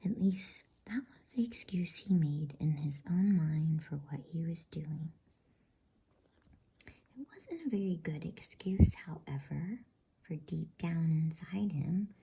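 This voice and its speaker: A woman reading a bedtime story in a soft, unhurried ASMR whisper. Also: low voice, lively intonation, poor audio. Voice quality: breathy, unvoiced whisper. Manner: soft, slow, unhurried, soothing delivery.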